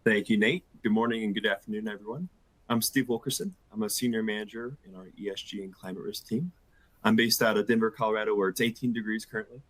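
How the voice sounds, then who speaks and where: A man with a fairly low voice speaks quickly over a remote call microphone. He is brisk and businesslike as he introduces himself as a webinar co-presenter. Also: fairly even intonation, a bit bright, poor audio.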